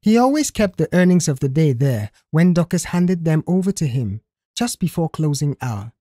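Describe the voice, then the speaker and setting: A woman's voice: low-pitched, warm tone, husky, no room sound, clean studio sound. A woman reading a scripted audio story as its narrator.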